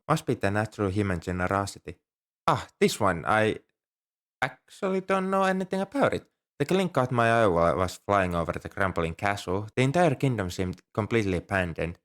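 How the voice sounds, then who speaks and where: A man's voice: fairly low pitch, natural voice. A man playing an ancient, polite, nerdy dragon who collects coins, speaking earnestly and a little primly to the listener in an ASMR roleplay. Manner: polite, earnest, slightly prim and scholarly. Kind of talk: ASMR roleplay, one-sided dialogue with the listener.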